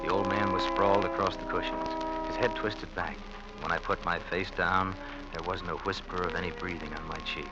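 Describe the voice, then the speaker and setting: An actor playing a tense, resentful husband turning murderous in an old radio thriller, clipped, brooding and defensive. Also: male voice, sits low, lightly acted. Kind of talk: 1940s-50s radio thriller acting. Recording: muffled vintage radio recording, music behind.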